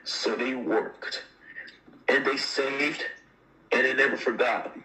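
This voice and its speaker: A man's voice: medium pitch, slow pace, bright, forceful tone, poor audio. A man reading a children's picture book aloud as a storyteller.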